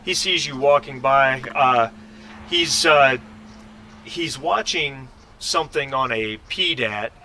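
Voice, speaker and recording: A man running a tabletop role-playing game, improvising narration and casual table talk in a halting way with drawn-out pauses. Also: medium pitch, sharp tone, slight background noise. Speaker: game master.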